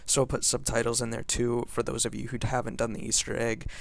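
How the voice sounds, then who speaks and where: A man's voice: mid-range voice, monotone, fairly quick, gravelly, no echo. A young man giving casual, hesitant gameplay commentary, his voice a bit off from being sick. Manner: informal, hesitant. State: sick, voice slightly off. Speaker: gaming video creator.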